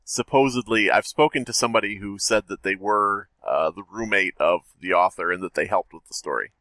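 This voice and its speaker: A man's voice: lowish voice, fairly quick, sharp tone, dry recording. A man co-hosting a casual podcast, laughing often and mocking the story they read. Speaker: podcast co-host. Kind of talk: casual comedic chat.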